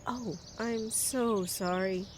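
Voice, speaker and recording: A woman playing a nervous, fussily polite middle-aged mother in an audio drama, flustered and halting. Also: on the high side, a little hiss or hum. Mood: flustered, wary. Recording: faint outdoor ambience with birdsong.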